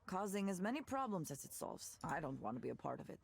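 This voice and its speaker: Visual novel role: weary, candid woman, gravelly and expressive, naturalistic delivery. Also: fairly low pitch, fast talker. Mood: weary, cynical. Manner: wry, frank, reflective. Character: Nora, world-weary, candid ex-tech woman turned musician.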